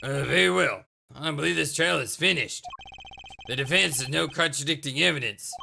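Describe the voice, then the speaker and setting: Gravelly old judge, as a man voices him. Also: on the high side, sharp tone, slight background noise, heavily acted. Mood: stern. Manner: formal, measured, deliberate. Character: stern older male judge.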